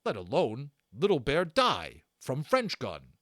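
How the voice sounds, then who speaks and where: A man acts out a proud and stern storybook warrior chief. He speaks in weighty, clipped broken sentences with big swings of pitch, bitter yet honorable. The sound is close and dry. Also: wildly swooping pitch, slow pace, a bit bright, no echo, full character voice. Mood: indignant. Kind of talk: dramatic storybook character reading.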